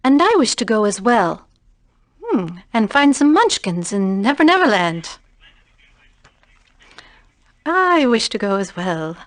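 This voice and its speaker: A woman's voice: a little high, big pitch swings, takes it slowly, long pauses, dry recording. A woman playing an earnest young woman in a fantasy adventure game, recorded during studio takes. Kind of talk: scripted game dialogue, studio outtakes.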